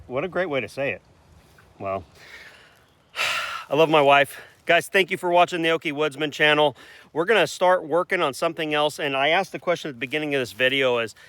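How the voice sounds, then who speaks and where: A man's voice: on the high side, pushed and bright, no room sound. A man, hosting a casual rural vlog and rambling in a folksy way with self-corrections. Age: 40s. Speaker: channel host. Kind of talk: casual outdoor vlog chat.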